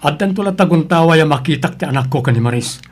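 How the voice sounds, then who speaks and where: A man's voice: on the high side, expressive, fast talker. A man acting a torn, evasive young father with a hesitant, defensive manner in an Ilocano family drama. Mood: defensive, troubled. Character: torn, evasive young father named Rigor, yearning for his child.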